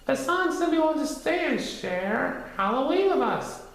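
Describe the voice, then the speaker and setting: Man as a kindly storybook boy, high-pitched, eager, gentle, strong room echo. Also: unhurried, gravelly, exaggerated voice. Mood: excited. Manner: excited, gentle. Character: eager, kindly young boy explaining things.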